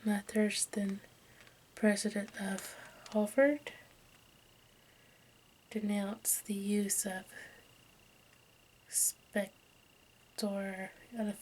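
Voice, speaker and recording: A woman whispers an ASMR reading in a breathy, unvoiced voice. Her delivery is slow and halting, with little rise or fall in pitch. A steady background noise sits under her voice. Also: rough recording. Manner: halting, stumbling read-aloud delivery. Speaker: host of an ASMR channel.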